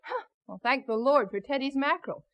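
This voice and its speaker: A woman playing an exasperated, brisk wife and mother in a 1970s radio drama. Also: high voice, animated, a bit bright. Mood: lighter, chatty.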